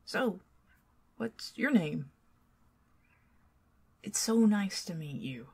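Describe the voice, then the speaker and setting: A woman with a Southern American accent doing an ASMR roleplay as a chatty, teasing neighbor who talks to the listener and drifts into soft whispers. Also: medium pitch, big pitch swings, very slow, husky. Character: friendly talkative young woman neighbor.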